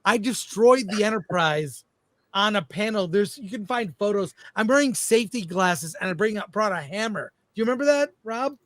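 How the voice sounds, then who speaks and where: A man's voice: high-pitched, lively intonation, normal speed, fairly bright tone, no room sound. A man telling anecdotes enthusiastically in casual talk show chat, as a host.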